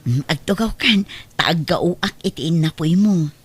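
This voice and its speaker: A woman's voice: fairly low pitch, slow, breathy edge. An actress playing a poor, devoted, self-sacrificing mother in an Ilocano drama, humble and earnest, with an Ilocano Filipino accent. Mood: tender. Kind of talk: Ilocano radio-style drama acting.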